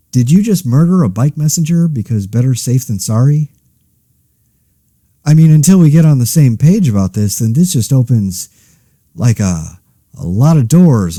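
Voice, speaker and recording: A man giving a casual, rambling mock news commentary in a wry, deadpan, self-interrupting way, as the host of a satirical podcast. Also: mid-range voice, no echo, clean studio sound.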